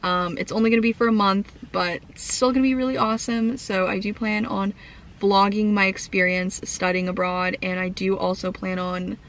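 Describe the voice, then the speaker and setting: A young woman vlogging to camera in a rambling casual chat full of fillers, her voice croaky from a lingering cough. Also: mid-range voice, level tone, normal speed. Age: young adult.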